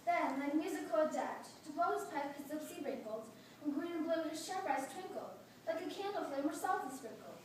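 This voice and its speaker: A woman's voice: sits high, animated, brisk. A woman narrating a verse tale as part of a readers theatre performance. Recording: distant, very echoey room, faint noise.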